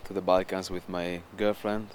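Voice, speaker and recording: Young man, 20s, low monotone volunteer interviewee. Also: moderate pace, slight background noise. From Italy. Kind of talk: interview answers.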